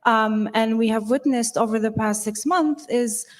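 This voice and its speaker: A woman from Gaza speaks as a panelist in a slightly high voice. She is reflective and personal, halting now and then to find her words. She is on an auditorium stage with a handheld mic. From Gaza, Palestine.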